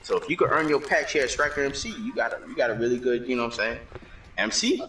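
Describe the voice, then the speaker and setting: A man's voice: medium pitch, fast talker, sharp tone, reverberant, recorded from a distance, slight background noise, rough recording. A man, a motorcycle club member, talking in a laid-back, slangy, rambling way about earning his patch over online voice chat. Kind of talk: casual gaming chat.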